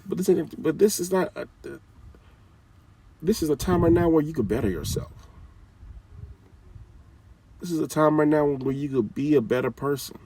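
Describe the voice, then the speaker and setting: A man's voice: on the high side, normal speed, long pauses, mellow, slightly rough, distant mic, rough recording. A man giving an informal, scolding talk with casual slang, often repeating his phrases.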